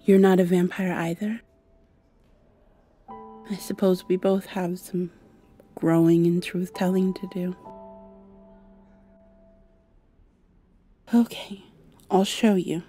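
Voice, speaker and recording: A woman's voice: fairly low pitch, level tone, slow, long pauses, breathy edge, soft background music. A woman playing a shy, insecure half-mosquito vampire girl in an ASMR roleplay, hesitant and confiding. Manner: hesitant, soft-spoken, confiding.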